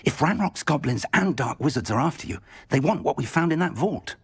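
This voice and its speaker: An actor playing an older wizard professor, a kindly mentor with a husky, measured voice. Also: male voice, on the high side, expressive, exaggerated voice. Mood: earnest. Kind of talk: game cutscene acting.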